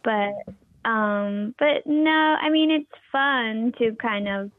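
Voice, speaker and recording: A young woman with a high voice, a performer and teacher, talking in an interview with lots of hesitant fillers and restarts. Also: takes it slowly, no echo. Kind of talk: interview conversation. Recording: tinny phone-like line, dry.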